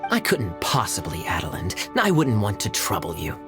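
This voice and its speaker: An actor playing a smooth-talking, teasing young cavalry captain in a scripted video game, with a sly, theatrical delivery. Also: male voice, lowish voice, wildly swooping pitch, moderate pace. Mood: wry, courteous. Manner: silver-tongued, playful, sly, theatrical. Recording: background music under dialogue.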